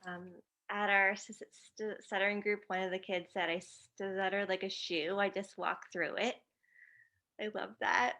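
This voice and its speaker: Woman moderator with an open stutter, mid-pitched, upbeat, laughs often, video call. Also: fairly even intonation, moderate pace, sharp tone. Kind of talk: casual video-call chat.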